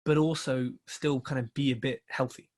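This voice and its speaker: A man's voice: medium pitch, fairly even intonation, normal speed, a little mellow. A man hosting a podcast, chatting casually with plenty of fillers and restarts as he steers the conversation. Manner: rambling, filler-heavy, asks questions and runs the show. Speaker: podcast co-host.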